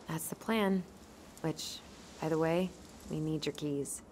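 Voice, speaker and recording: A young woman with a lowish voice, composed and tactful. She speaks in a measured, gently firm way, with faint ambience behind her. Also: steady tone, a little hiss or hum. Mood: polite, firm. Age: young adult. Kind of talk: naturalistic video game acting.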